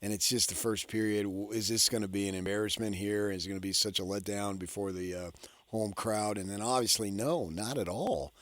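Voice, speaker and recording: A man's voice: on the low side, fairly even intonation, a little fast, a bit bright, no echo. Emphatic male sports radio host, dry studio sound. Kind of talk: casual sports talk interview.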